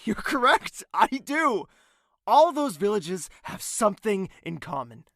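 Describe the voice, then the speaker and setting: A man acting an embittered, proud crown prince who calls himself a villain in a fantasy audio drama, theatrical and sardonic, swinging from hushed to explosive. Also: high-pitched, very animated, measured pace, dry recording, studio quality, full character voice. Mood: cold, defiant.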